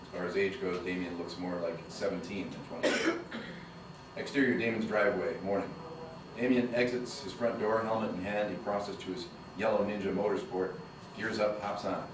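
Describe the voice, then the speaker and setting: A man's voice: deep voice, steady tone, moderate pace. A man reading the stage directions at a screenplay table read, heard from a distance in an echoey, noisy room.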